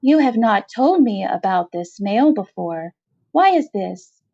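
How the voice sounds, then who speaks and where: A woman's voice: medium pitch, sing-song, a little slow, dark tone, breathy edge, no echo, dull audio, pitched above the performer's own voice, exaggerated voice. A woman voicing a giant female arachnid matriarch in a storybook reading, imperious and calculating, with formal, stilted, commanding phrasing. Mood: curious, demanding.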